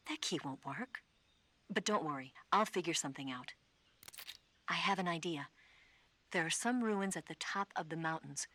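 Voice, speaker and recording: Low-voiced, confident female spy. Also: normal speed, long pauses, sharp tone. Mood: cool, urgent. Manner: assured, matter-of-fact. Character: cool, confident female spy briefing an agent. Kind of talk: video game radio-call dialogue acting.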